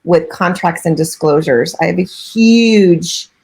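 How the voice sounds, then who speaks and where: A woman's voice: on the low side, takes it slowly, a little mellow. A middle-aged woman, a real estate broker and coach, giving chatty, earnest interview answers with frequent filler phrases.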